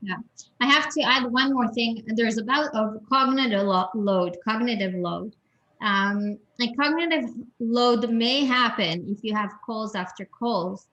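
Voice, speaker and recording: A woman's voice: a little high, a little slow, fairly bright tone, lo-fi sound. A woman from Europe, a guest expert giving advice in a panel talk, with a strong voice and many restarts and repeated words.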